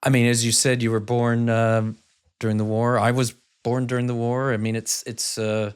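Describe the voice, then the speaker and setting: A man's voice: mid-range voice, little pitch movement, moderate pace, clean tone. A man in his fifties from the United States hosting a podcast, asking long, circling questions. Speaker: podcast host.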